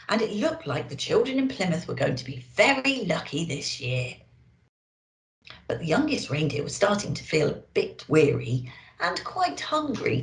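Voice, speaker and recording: Woman storyteller, children's book reading, unhurried, very animated intonation, dramatic emphasis, echoey room. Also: medium pitch. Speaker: storyteller in character as a Christmas elf.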